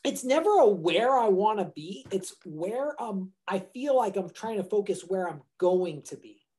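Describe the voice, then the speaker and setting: A man's voice: high voice, expressive, measured pace, mellow. A man co-hosting a podcast in casual chat, rambling and restarting his sentences as he thinks aloud. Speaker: podcast co-host.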